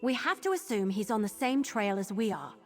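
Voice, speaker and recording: An actress playing a brisk, wary adventuring companion in a video game, conversational and eager to get moving. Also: female voice, mid-range voice, expressive. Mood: determined. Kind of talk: scripted video game dialogue. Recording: music behind the voice.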